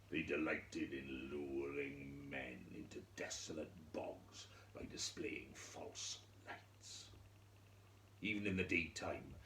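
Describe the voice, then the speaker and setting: A man storyteller narrating a scripted fairy tale for children, theatrically, in measured phrases with dramatic pauses. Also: extremely low pitch, fairly bright tone. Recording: echoey room with faint noise.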